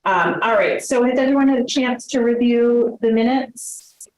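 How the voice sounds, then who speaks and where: A woman's voice: a little high, normal speed. A woman chairing a town committee meeting, speaking with many fillers and hesitations. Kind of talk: running a public meeting. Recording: roomy echoey meeting room.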